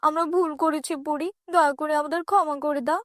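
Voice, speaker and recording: A woman's voice: high-pitched, steady tone, brisk, a bit bright, no room sound, clean studio sound. A woman playing a cautious, fearful poor girl, the elder orphan sister in a children's fairy-tale cartoon. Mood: apologetic, earnest.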